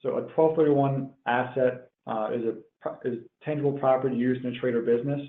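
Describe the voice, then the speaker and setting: A man presenting a technical webinar in a measured, explanatory way, as if working through prepared points. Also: medium pitch, steady tone. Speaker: webinar presenter, tax professional. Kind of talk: explanatory tax webinar lecture. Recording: tinny phone-like line, roomy echo.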